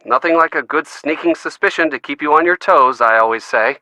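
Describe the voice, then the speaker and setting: An actor playing a wisecracking, upbeat young friend in a video game, with an American accent and a playful, wry, chatty delivery. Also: male voice, on the high side, a little fast, pushed and bright, dry recording, low-quality recording. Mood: wry, amused. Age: young adult. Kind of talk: video game dialogue acting, conversational phone chat.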